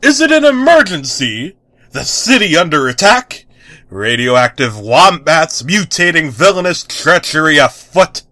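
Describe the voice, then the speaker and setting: A man's voice: on the high side, sing-song, measured pace, pushed and bright, no echo, voice pushed up, full character voice. A man voicing an enthusiastic, dim-witted superhero who makes grandiose proclamations in a comic story reading. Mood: rousing, heroic.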